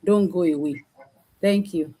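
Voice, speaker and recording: Nigerian mom welcoming viewers. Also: female voice, lowish voice, unhurried, dark tone.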